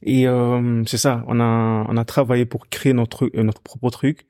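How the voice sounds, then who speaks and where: A young man from Ghana with a Ghanaian accent talks through his story in an easygoing way. He loops back on his own phrases and fills the gaps with hesitations. His voice is warm and dark, and the dry recording keeps it close. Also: medium pitch, unhurried, smooth, no echo. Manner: relaxed storytelling, many fillers and repeated phrases. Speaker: music artist guest. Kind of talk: casual conversation.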